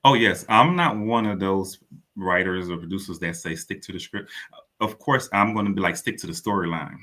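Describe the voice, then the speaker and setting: A man's voice: low-pitched, moderate pace, bright, forceful tone. A man speaking as a playwright guest in a radio interview, explaining earnestly and often repeating his phrases.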